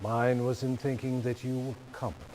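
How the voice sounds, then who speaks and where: An older man playing a falsely polite, menacing corporate chairman in a video game, speaking in a measured, condescending way. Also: mid-range voice, little pitch movement, darkish tone, a little hiss or hum, natural voice. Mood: cold, gloating. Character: smooth, menacing older male chairman of a ruthless consortium. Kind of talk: dramatic video game cutscene acting.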